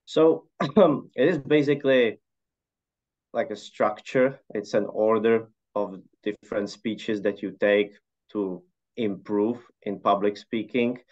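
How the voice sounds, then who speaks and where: Low-voiced man on a dull line. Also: takes it slowly. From Czech Republic. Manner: relaxed, hesitant with many fillers. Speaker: interview guest. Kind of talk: casual conversational answers.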